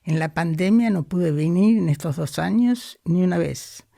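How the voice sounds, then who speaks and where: Gravelly elderly woman. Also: fairly low pitch, mellow, dry recording, studio quality. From Argentina. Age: 80s. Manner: unhurried, thoughtful, frequent hesitations. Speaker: guest, psychoanalyst. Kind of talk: reflective interview conversation.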